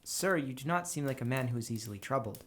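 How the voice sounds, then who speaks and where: An actor playing a curious, well-mannered gentleman writer of the 1860s in an audio drama, formal and inquisitive. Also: mid-range voice, animated, quick pace, a little hiss or hum, lightly acted. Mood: puzzled, polite. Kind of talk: audio drama acting, period dialogue.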